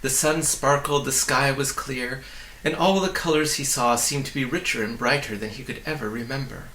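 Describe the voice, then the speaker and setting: A man reading a children's story aloud in an expressive, deliberate way, with friendly asides to the listener. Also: mid-range voice, reverberant, low-quality recording. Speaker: storybook reader.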